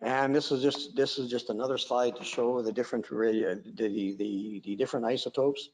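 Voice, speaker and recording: A man's voice: mid-range voice, fairly even intonation, normal speed, a little mellow, a little hiss or hum, poor audio. A man giving a technical conference lecture over a video call, with a droning voice, often hesitating with fillers and restarts.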